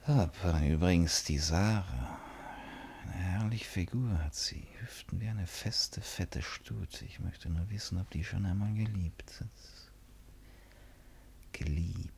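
A deep, creaky, brooding man. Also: takes it slowly, a little mellow, a little hiss or hum, exaggerated voice. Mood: wistful, intimate musing. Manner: musing, self-questioning, theatrical. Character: brooding, ironic, impulsive man musing to himself. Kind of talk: radio play acting, interior monologue. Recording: studio radio play with faint music.

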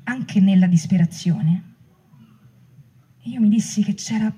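Female reader for first-person testimony: dark, mellow timbre with a husky edge, dramatic delivery. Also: medium pitch, unhurried. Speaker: performer reading book extracts.

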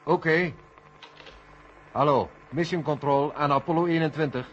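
A man's voice: on the high side, measured pace, a little hiss or hum, natural voice. Man acting sceptical mission controller, dull old recording. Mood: urgent. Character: Sam, sceptical, matter-of-fact male Mission Control colleague. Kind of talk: 1970 radio play acting.

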